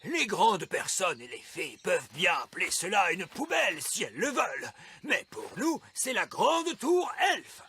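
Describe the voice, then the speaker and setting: A man's voice: high voice, big pitch swings, measured pace, pushed and bright, husky, full character voice. A man voicing a stubborn, proud old wise elf in a French cartoon dub, speaking in an indignant, emphatic way.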